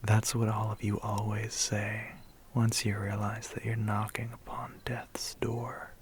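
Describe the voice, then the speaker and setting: A man's voice: on the low side, monotone, unhurried, a little hiss or hum, lightly acted. A man playing a seductive, predatory vampire biker in an ASMR roleplay, intimate, teasing and theatrical. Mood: menacing, taunting. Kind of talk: whispered ASMR roleplay acting.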